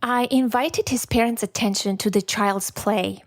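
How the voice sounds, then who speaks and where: A woman's voice: on the high side, fairly even intonation, measured pace, no room sound, studio quality. A middle-aged woman with an accent, whose first language is Armenian, hosting a podcast in a candid, self-deprecating solo reflection. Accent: non-native English. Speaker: podcast host.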